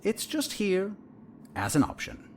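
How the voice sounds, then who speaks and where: An actor playing a calm, probing and coolly manipulative therapist in an audio drama, speaking in a measured, clinical, needling way. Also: male voice, high-pitched, slight background noise, heavily acted. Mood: quietly sinister.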